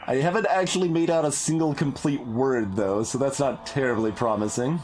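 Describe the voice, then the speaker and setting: A video game streamer giving casual playthrough commentary, musing and thinking aloud in a halting way. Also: medium pitch, a little mellow, soft background music.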